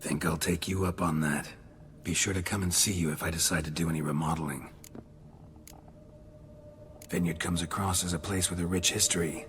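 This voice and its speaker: An actor playing a laconic, plainspoken adventurer in a video game, with a dry, clipped, understated delivery. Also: male voice, deep voice, fairly even intonation, normal speed, long pauses, a bit bright, slight background noise. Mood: dry, curious. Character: laconic, plainspoken male adventurer turned new landowner.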